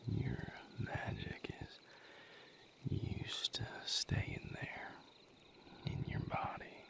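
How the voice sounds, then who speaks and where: A man playing a gentle, patient vampire mate in an intimate audio roleplay, coaxing softly in a breathy, gravelly whisper. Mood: soothing, focused. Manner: soothing, coaxing, unhurried guidance.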